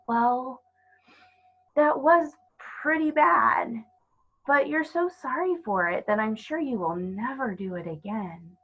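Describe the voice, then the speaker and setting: Woman voicing a innocent little girl, raised husky pitch. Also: slow pace, highs cut off, full character voice. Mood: earnest, reassuring. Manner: earnest, gentle. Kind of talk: children's storybook character voice.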